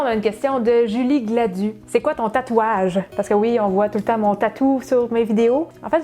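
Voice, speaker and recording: A woman's voice: medium pitch, mellow. A chatty woman in her thirties with a Québécois accent, co-hosting a casual cooking channel Q&A. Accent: Québécois French. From Quebec, Saguenay. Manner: chatty, fast, with many fillers and restarts. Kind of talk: casual Q&A chat.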